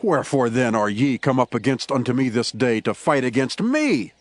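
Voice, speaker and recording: A man's voice: medium pitch, sing-song, normal speed, natural voice. A man acting a solemn, passionate warrior leader in a dramatized scripture reading, declaiming earnestly in archaic English. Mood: stern, defensive.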